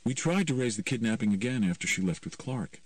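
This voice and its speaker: A man's voice: mid-range voice, lively intonation, fairly quick, dry recording, far from the mic, pitched above the performer's own voice, lightly acted. A man voicing a casual, candid teenage boy with offhand phrasing in an audiobook. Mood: offhand, doubtful. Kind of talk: audiobook character voice.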